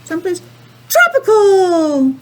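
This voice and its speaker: A woman's voice: extremely high pitch, huge pitch swings, slow pace, voice pushed up, heavily acted. A woman voicing an eager young penguin from a storybook, exclaiming with childlike enthusiasm. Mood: excited. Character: eager young penguin longing for a tropical vacation.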